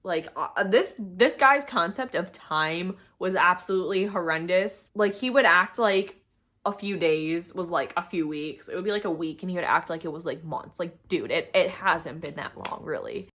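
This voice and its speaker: A woman's voice: on the low side, normal speed, fairly bright tone. A young adult woman chatting casually as she tells a personal story, acting out bits of past conversations with exasperated asides. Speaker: storytime video creator.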